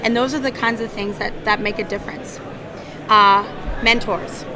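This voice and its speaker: A woman's voice: mid-range voice, steady tone, moderate pace, crowd noise. A woman in HR giving an earnest conference talk, with a scratchy voice, often repeating her words. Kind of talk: conference presentation. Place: conference hall.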